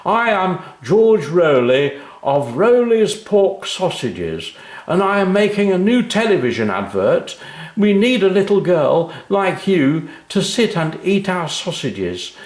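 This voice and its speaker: A man's voice: high-pitched, sing-song, unhurried, reverberant, pitched above the performer's own voice. A man playing a friendly, hearty large businessman in a children's story, speaking in an expansive, emphatic way. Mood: jovial.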